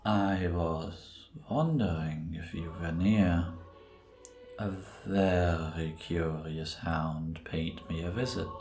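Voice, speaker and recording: A man playing a mysterious, serene priest with a measured, solemn, cryptic delivery in a cinematic audio drama. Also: sits low, fairly even intonation. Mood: calm, cryptic. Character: mysterious, serene male priest of a wandering sanctuary. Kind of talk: cinematic audio roleplay acting. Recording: echoing sanctuary ambience with noise.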